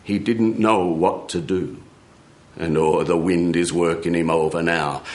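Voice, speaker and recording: A man's voice: sits low, steady tone, unhurried. A man telling a folk tale live, in a theatrical, incantatory storytelling manner. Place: radio studio before a live audience. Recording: roomy, echoing live radio studio.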